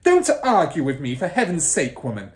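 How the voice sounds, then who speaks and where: A man's voice: a little high, wildly swooping pitch, normal speed, pitched above the performer's own voice. A man voicing a fierce, bullying middle-aged headmistress in a children's storybook, barking and snorting with scorn. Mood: furious, overbearing. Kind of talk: children's storybook character reading.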